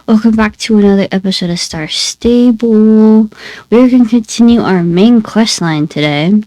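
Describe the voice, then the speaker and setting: A woman's voice: medium pitch, moderate pace, warm tone, no echo, poor audio. A woman hosting a gaming video, talking casually to viewers and rambling through asides, her voice stuffy and congested. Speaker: gaming channel host. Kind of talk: casual gameplay commentary.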